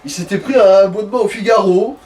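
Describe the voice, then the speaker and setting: A man's voice: sits high, quick pace, darkish tone. A man co-commentating a game in casual joking chat, wryly riffing on absurd ideas. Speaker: let's play co-commentator. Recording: distant microphone, faint noise.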